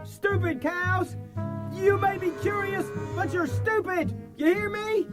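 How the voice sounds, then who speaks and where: A small, cheeky talking cat from a children's audiobook. The voice is high and petulant, with blunt, grumpy phrasing. Music sits behind it on the cassette recording. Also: male voice, steady tone, takes it slowly. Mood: scornful. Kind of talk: audiobook character acting.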